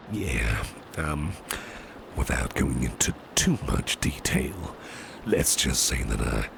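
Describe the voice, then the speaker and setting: Guy doing a slow gravelly businessman boss for asmr, doting n genteel w a sly menacing edge, noisy background. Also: very deep voice, full character voice. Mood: slyly menacing. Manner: old-fashioned genteel phrasing, unhurried, repeats himself. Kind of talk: ASMR roleplay, soft personal attention.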